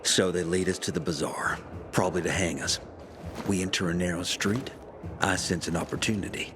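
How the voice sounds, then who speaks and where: A man acting a wisecracking, fast-talking scoundrel of a prisoner in a video game cutscene, joking and wheedling like a born storyteller. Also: low-pitched, steady tone, noisy background, close to an everyday voice. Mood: animated storytelling. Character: wisecracking male prisoner, fast-talking scoundrel who jokes through misery. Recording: music behind the voice.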